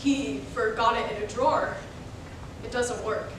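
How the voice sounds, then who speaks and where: A woman playing a withdrawn, troubled eight-year-old boy in staged reader's theater, speaking in a halting, guarded way. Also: fairly even intonation, lightly acted. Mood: guarded, flat. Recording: echoey room, distant mic, noisy rumble.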